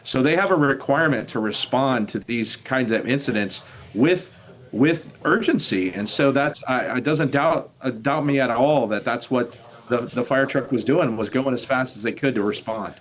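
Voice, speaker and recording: An older man, an expert guest in a news interview, explaining in a measured, halting way. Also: mid-range voice. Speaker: retired air traffic controller, expert guest.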